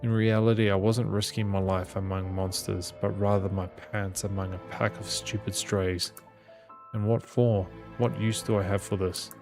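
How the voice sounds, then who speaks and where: Low, gravelly man narrating evenly. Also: level tone, moderate pace. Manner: reads aloud with small stumbles. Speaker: gaming channel host reading the game aloud. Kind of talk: dramatic narration of visual novel text. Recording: music behind the voice. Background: game music.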